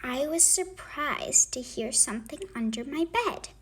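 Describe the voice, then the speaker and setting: A woman reading a children's story aloud, narrating expressively with dramatic, deliberate phrasing. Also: high voice, animated, fairly bright tone. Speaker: storybook reader.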